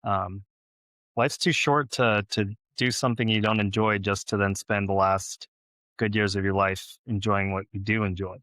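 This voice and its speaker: Low, even-voiced man from Los Angeles. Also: moderate pace, no room sound. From born in Ukraine, grew up in Los Angeles, United States. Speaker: podcast guest, real estate investor and former Navy officer. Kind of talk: conversational interview answers.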